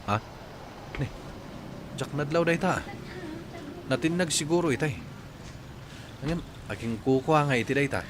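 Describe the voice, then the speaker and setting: A man's voice: fairly high pitch, measured pace, pauses often, background noise, close to an everyday voice. A man playing a heartbroken, hard-drinking young jeepney driver in a radio drama, with a Filipino accent. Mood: casual.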